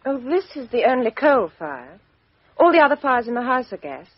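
An actress playing a composed, outspoken young Englishwoman with a crisp, frank upper-class delivery in a 1950s radio drama. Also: high voice, very animated, moderate pace, no echo, full character voice. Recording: dull 1950s radio recording.

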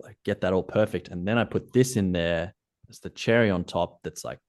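An Australian man with a notably low, deep voice. He talks in an easygoing, considered way, working through technical details in casual conversation. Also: brisk. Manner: relaxed, thoughtful, technical about gear. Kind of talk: casual interview chat.

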